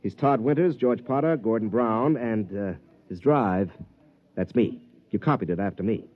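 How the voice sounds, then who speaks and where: An actor playing a determined, fair-minded professional golfer in 1950s radio drama style, earnest and thoughtful. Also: male voice, on the low side, moderate pace, natural voice. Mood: challenging, indignant. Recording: muffled vintage radio recording.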